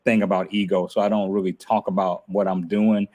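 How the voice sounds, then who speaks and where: A man's voice: low voice, level tone, a little fast, darkish tone. A man hosting a casual podcast, talking in a laid-back, grateful way and laughing often. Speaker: podcast host. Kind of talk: casual chat.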